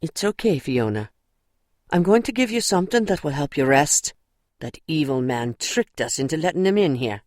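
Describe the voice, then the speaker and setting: Practical nun in dry, close sound. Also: female voice, low voice, big pitch swings, moderate pace, a little mellow, no room sound. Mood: reassuring. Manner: questioning, level-headed. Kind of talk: audio drama acting.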